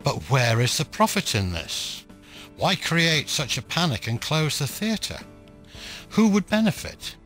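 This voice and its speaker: A man playing a sceptical, self-assured ghost hunter in an audio play, speaking in a musing, deliberate way. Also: on the high side, big pitch swings, a bit bright, soft background music, voice pushed up.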